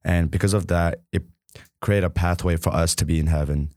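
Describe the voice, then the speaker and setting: A man from Burma, a podcast guest telling his faith story in a casual, soft-spoken way with many fillers. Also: deep voice, steady tone, a little fast, no echo, studio quality. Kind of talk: casual testimony.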